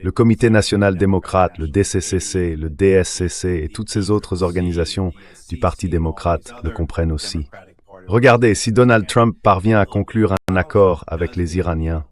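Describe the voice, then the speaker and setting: A man's synthetic translation voice delivering measured, explanatory political commentary as an interview guest. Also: low voice.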